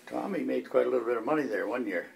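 A man's voice: medium pitch, lively intonation, racing, a little hiss or hum. An older man, reminiscing casually. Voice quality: gravelly, creaky.

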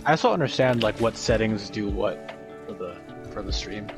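A man's voice: fairly low pitch, animated, moderate pace. Young man, voice chat friend, teasing, callouts, game music behind. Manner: teasing, chatty, calls out plays. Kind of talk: casual gaming banter. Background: game sounds and music.

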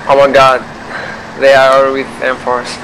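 A man chatting casually and excitedly with friends on voice chat while playing an online game. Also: medium pitch, slow pace, a little hiss or hum, rough recording. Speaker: gamer in an online roleplay game. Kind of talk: casual voice-chat during gameplay. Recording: game voice chat under loud music. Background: loud music, game gunfire and vehicles.

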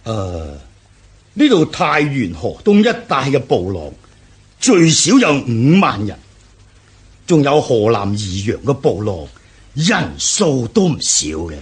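An actor playing an earnest Xiongnu noble elder urging revolt in a historical radio drama, theatrical and measured, with a Hong Kong Cantonese accent. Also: male voice, medium pitch, big pitch swings, breathy edge, full character voice. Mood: measured, inquiring.

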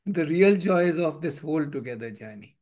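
A man's voice: on the high side, animated, a little fast, darkish tone. A man, hosting an online meetup in an earnest, repetitive way and mixing in Hindi phrases, speaking through a headset from a room at home. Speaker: meetup host. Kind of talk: casual video-call discussion. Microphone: headset on a video call.

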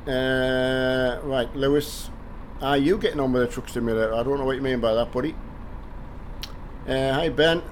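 An older man from the north of England, hoarse from a cold, chatting casually on a game live stream and greeting viewers by name through a headset mic. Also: mid-range voice, fairly even intonation, moderate pace, a little hiss or hum. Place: home gaming room with driving rig.

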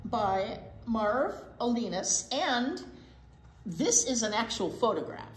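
An older woman co-hosting a children's storytime, chatting and reading aloud in simple, expressive, emphatic phrases. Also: fairly high pitch, slow pace, faint music behind. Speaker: teacher, children's storytime co-host. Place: indoor library storytime set, seated. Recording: roomy echo, faint background noise.

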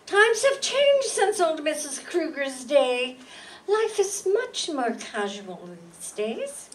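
A petulant young society wife, played by a woman in a staged radio play. Her voice is high, slow and very animated, with a spoiled whine. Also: full character voice. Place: meeting room stage before an audience. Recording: distant mic, faint room noise.

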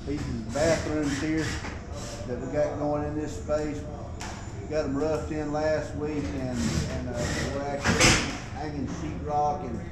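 A man's voice: fairly high pitch, flat delivery, measured pace. A man giving a plain-spoken, matter-of-fact tour of a building under construction. He is filming himself with a 360 camera on a pole as he walks. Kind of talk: casual walking site tour. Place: indoor building under construction, unfinished floor. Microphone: 360 camera on a pole held at arm's length. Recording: echoey bare room, loud site noise, mic at a distance.

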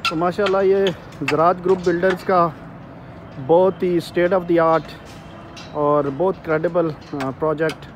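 A man talks buyers into investing as he walks a building site and then a busy mall. The recording has a phone-camera feel, with clear site and street noise behind him. He sounds salesy and eager. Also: on the high side. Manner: salesy, persuasive. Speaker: real estate marketer. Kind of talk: walking property tour commentary. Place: outdoors at a construction site, then inside a shopping mall.

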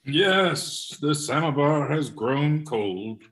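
A man reading a meek, wounded but proud hanger-on in an amateur play, earnest and halting, over a video call. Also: fairly high pitch, expressive, a bit bright, full character voice. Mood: meekly offended. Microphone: video call microphone. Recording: distant video-call microphone.

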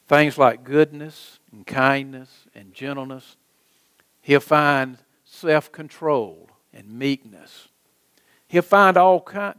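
High-voiced American preacher, slow and deliberate. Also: male voice, a bit bright, clean tone, dry recording. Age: middle-aged. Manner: emphatic, deliberate, with rhetorical questions and repetition. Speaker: pastor. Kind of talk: sermon. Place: church sanctuary, preaching from a lectern.

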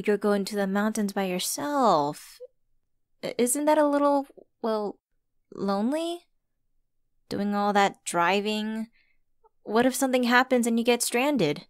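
A woman's voice: fairly high pitch, no echo, studio quality. A woman playing a flustered, friendly stranded driver in an ASMR audio roleplay, chatty and a little hesitant. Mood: curious, flirty. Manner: hesitant, chatty, expressive with sighs and giggles. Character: flustered, friendly woman locked out of her car at Christmas. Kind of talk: ASMR roleplay acting, one-sided conversation.

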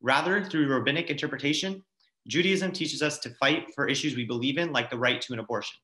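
A man's voice: mid-range voice, fairly quick, bright, forceful tone, roomy sound, low-quality recording. A teenage young man reading a prepared confirmation speech aloud at home over a video call. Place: home dining room, indoors. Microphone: computer mic on a video call.

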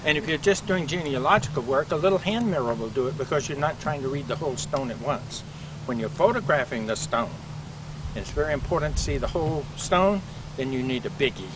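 A man's voice: a little high, animated, brisk. An older man leading a walking tour through a cemetery outdoors. He explains things in a chatty way, with many fillers and restarts, and is recorded on a camcorder from a few feet away. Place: outdoor cemetery among trees. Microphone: camcorder mic from a few feet away. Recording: outdoor camcorder audio with traffic noise.

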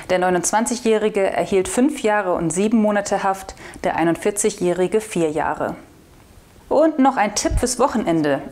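Chatty young woman hosting local news. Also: mid-range voice. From northwestern Germany. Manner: chatty and relaxed, reading short news items. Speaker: video host of a regional newspaper. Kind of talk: casual weekly news roundup. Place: studio in front of a blue backdrop. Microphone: lapel mic.

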